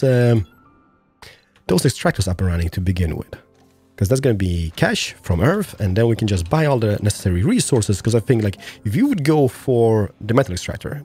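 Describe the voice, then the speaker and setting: A hoarse man losing his voice, hosting excitable gameplay commentary with sudden high-pitched exclamations. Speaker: gaming channel host.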